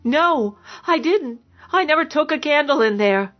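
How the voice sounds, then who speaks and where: A woman's voice: medium pitch, steady tone, moderate pace, muffled recording, soft background music, natural voice. A woman voicing a meek, frightened woman who sounds timid, apologetic and pleading in a dramatised story. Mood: panicked. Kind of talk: dramatised fiction narration.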